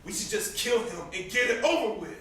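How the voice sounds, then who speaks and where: A man's voice: high-pitched, animated, brisk, pushed and bright. A young man acting a vengeful, grieving, hot-headed brother in a stage play, insistent and confrontational. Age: young adult. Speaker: student stage actor. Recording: distant, very echoey, noisy stage recording.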